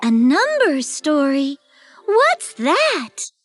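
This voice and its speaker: Little cartoon girl, super high voice, earnest n curious, slow careful talking. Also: wildly swooping pitch, fairly bright tone, no echo, soft background music, exaggerated voice. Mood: curious, skeptical. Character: curious, eager young girl in a storybook cartoon. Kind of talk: children's educational cartoon acting.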